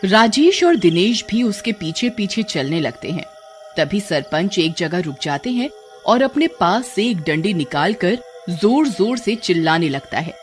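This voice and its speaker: Animated storyteller narrating a cartoon tale. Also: mid-range voice, a little slow, faint music behind.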